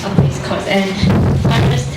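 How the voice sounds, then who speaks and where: A woman with a Taiwanese accent opening her group's class presentation, halting and repeating words. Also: on the high side, steady tone. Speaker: student presenter. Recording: very echoey, distant mic, loud noise.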